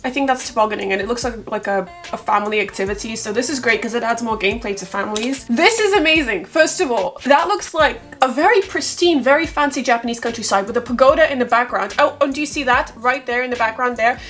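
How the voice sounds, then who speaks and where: A woman with a stuffy, nasal voice from a cold, giving excitable, casual reaction commentary as a gaming vlogger. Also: on the high side, quick pace, hardly any pauses. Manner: excitable, exclaiming. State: has a bad cold.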